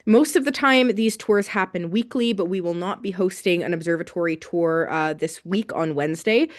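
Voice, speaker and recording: A woman's voice: fairly low pitch, normal speed. A woman co-hosting a live radio show, giving listeners event details in a friendly, informative way with some fillers. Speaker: radio co-host.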